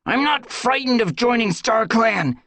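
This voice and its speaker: A man's voice: fairly high pitch, big pitch swings, fairly quick, gravelly, slightly rough, dry recording, voice pushed up, full character voice. A man voicing a reckless, bitter young tomcat apprentice who spits his words. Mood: defiant. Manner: spitting, hissing.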